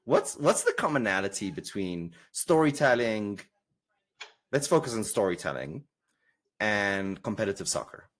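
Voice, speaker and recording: This is a South African man interviewing a guest, eager and quick to jump in. His voice sits low with a husky edge. It rises and falls a lot as he repeats himself and piles on praise. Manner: animated, enthusiastic, stumbles over word starts. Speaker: podcast host. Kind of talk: casual interview conversation.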